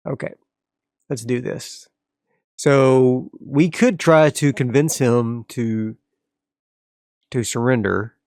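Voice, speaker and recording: Gravelly man narrating a solo game. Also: mid-range voice, a little mellow, no echo, studio quality. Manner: casual, narrates moves and reads rules aloud. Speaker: host and solo player of a tabletop role-playing game. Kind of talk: solo tabletop actual-play commentary, thinking aloud.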